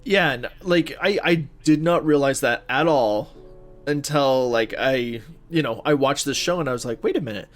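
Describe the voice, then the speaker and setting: A man co-hosting a podcast, chatting casually and a little haltingly, often feeling his way through his thoughts. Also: mid-range voice, soft background music. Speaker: podcast co-host. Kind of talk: casual chat about movies and video games.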